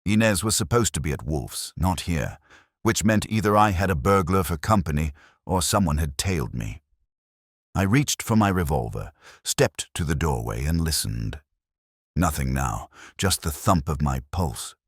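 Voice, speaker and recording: A man's voice: a bass voice, animated, normal speed, husky, no room sound, studio quality. A man narrating a hardboiled first-person detective story in the manner of an audiobook.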